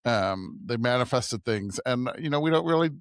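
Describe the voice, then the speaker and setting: A man's voice: mid-range voice, animated, normal speed, smooth, no room sound. Casual male co-host, dry sound. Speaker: podcast co-host. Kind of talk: casual discussion.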